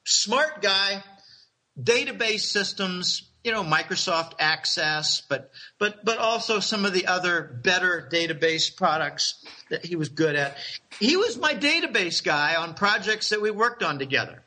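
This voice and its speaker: High, bright-voiced man telling rambling stories. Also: animated, a little slow. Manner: rambling, chuckling, with many restarts. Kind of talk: casual conspiratorial storytelling.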